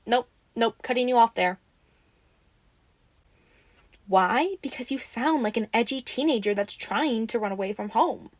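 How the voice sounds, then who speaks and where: A woman's voice: on the high side, animated, unhurried, long pauses, no echo. A woman playing a teasing, bossy but caring vampire in an audio roleplay, talking informally and expressively to the listener. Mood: frank, probing. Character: teasing, sassy, caring female vampire taking in a newly turned one.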